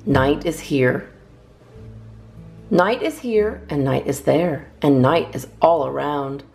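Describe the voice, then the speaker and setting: A woman's voice: sits low, animated, takes it slowly, creaky, a little mellow, faint music behind. A woman hosting a storytime, reading children's poems aloud expressively with theatrical emphasis. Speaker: storytime host reading a picture book.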